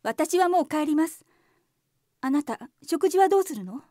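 An actress playing a stern, sharp-tongued wife who speaks in a curt, scolding manner in a voice drama. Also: female voice, sits high, animated, moderate pace, no room sound, studio quality. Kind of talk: voice drama acting.

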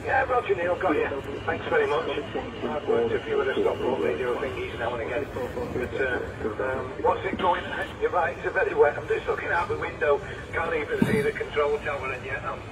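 A man's voice: a little high, normal speed. Older man over a distant, very noisy radio. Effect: heard through a radio receiver. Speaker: amateur radio operator. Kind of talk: casual amateur radio chat.

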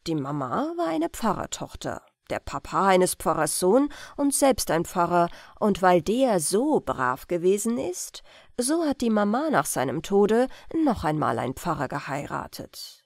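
A woman reading an old-fashioned family tale as an audiobook narrator, in carefully phrased, expressive storytelling. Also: on the low side, measured pace, gravelly, no room sound, clean studio sound. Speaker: audiobook reader.

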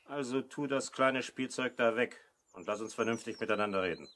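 An actor playing a shifty, defiant crook who passes as a friendly uncle in a 1970s children's radio play. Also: male voice, medium pitch, fairly quick, a bit bright, slight background noise, natural voice. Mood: cool defiance.

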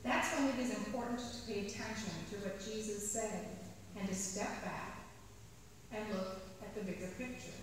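A middle-aged woman priest reading a sermon from the pulpit, measured, slowing down to stress key phrases. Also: medium pitch, a little hiss or hum. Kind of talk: read sermon. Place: large church sanctuary. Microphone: pulpit mic at a distance. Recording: echoey church hall from far off.

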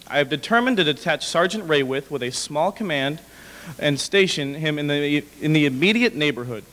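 A young man with a fairly high voice reads formal prose aloud. He is a little halting, and his voice reverberates in a hall. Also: a bit bright. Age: young adult. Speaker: dramatic reader. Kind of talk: formal read-aloud of historical letter. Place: indoor hall with brick walls, small audience. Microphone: podium microphone.

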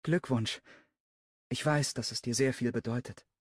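A man reading an audiobook and voicing a fiery, sharp-tongued young woman in a raised, high register. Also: unhurried, dry recording, studio quality, lightly acted. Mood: questioning.

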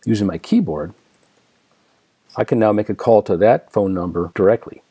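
Male American tutorial presenter, dark soft-edged tone, dry close recording, explains each step methodically. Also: lowish voice, moderate pace, breathy edge, dry recording. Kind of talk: step-by-step screen demonstration narration.

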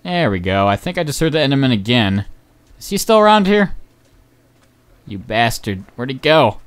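Young male game commentator, smooth voice, hoarse, rambling and excitable, many ums. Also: medium pitch, moderate pace. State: voice going hoarse. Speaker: gaming channel host. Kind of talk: casual gameplay commentary.

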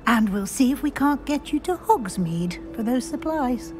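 A stern, brisk professor, crisp and businesslike, her fairly high voice riding over clear orchestral music in a game cutscene. She keeps things moving with clipped, no-nonsense authority. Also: animated. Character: brisk older female professor, stern and proper.

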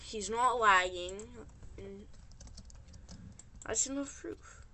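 A gamer giving rambling, informal and excitable gameplay commentary as host of a gaming video. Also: mid-range voice, slow pace. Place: indoors at a computer. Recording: background noise with keyboard clicks. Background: keyboard typing and mouse clicks.

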